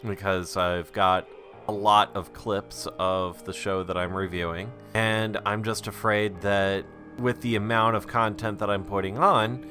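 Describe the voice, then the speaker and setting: Casting: male creator for an audio diary, low but bright, forceful voice, conversational and self-deprecating, music behind. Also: steady tone, measured pace. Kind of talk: casual rambling audio log.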